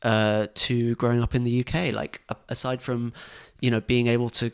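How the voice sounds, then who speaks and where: A young man with a gravelly edge to his mid-pitched voice talks in a casual interview. He halts often, restarts his sentences and fills gaps while he gathers his thoughts. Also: flat delivery, moderate pace. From grew up in Dubai, UAE, to a British family. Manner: hesitant, many restarts and fillers. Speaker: guest, photographer and radio documentary producer. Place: in London.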